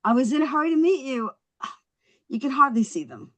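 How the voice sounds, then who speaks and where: A woman at a script table read playing a quick-witted young housewife, chatty, coaxing and wry. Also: high voice, big pitch swings, fairly bright tone. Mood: apologetic.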